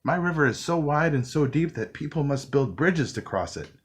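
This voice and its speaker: A man's voice: fairly high pitch, expressive, normal speed, slightly rough, voice pushed up. A man voicing a proud, restless little toy tugboat in a children's storybook, speaking eagerly and boastfully. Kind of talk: storybook character voice.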